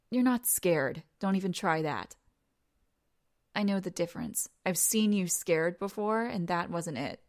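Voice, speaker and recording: A woman playing a teasing, domineering young woman in an intimate audio roleplay, creaky and breathy, often dropping into whispers. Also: fairly low pitch, a little mellow. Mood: teasing, hushed. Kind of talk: intimate audio roleplay, second-person dramatic monologue.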